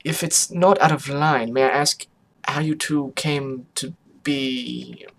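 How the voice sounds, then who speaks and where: A man's voice: medium pitch, fairly even intonation, slow pace, gravelly, a bit bright, exaggerated voice. A man acts out a polite young pony in a storybook reading. The character is anxious and careful with words. The delivery is hesitant and easily flustered. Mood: polite, cautious.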